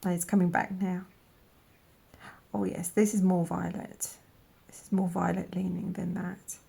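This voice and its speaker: A woman with a croaky voice from a cold, slowly musing aloud in a soft-spoken way as she tries out paints, sometimes dropping to a whisper. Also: lowish voice, level tone. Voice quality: croaky, gravelly. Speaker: art vlogger. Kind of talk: casual voice-over while swatching paints.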